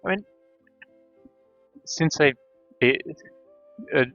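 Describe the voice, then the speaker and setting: A man chatting casually on a game livestream, halting often as he thinks aloud. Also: fairly high pitch, fairly bright tone, no echo. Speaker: game streamer. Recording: dull band-limited mic, faint music.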